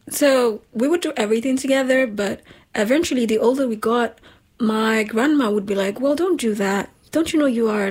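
A woman with a slightly husky voice, hosting a casual chat in an informal, emphatic, slangy way. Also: medium pitch, darkish tone. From Nigeria. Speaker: talk show host.

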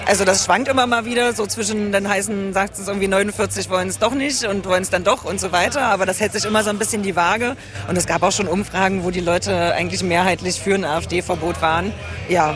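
A man's voice: high voice, steady tone, fast, music in the background. A man who campaigns politically, answering questions conversationally in an informal street interview. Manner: conversational, uses tag questions. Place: outdoors on a busy town square. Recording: outdoor recording with crowd noise.

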